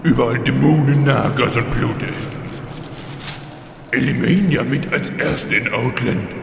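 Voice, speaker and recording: A very gravelly, slow-talking undead tyrant in a fantasy parody radio play, heard in a big echoing hall. He is smug and needles with mock friendliness and feigned innocence. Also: medium pitch. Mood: musing, intrigued. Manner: drawling, taunting, feigned innocence. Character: smug undead Lich King, teasing, mock-friendly villain. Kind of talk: parody fantasy audio drama acting. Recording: very echoey reverberant hall, faint noise.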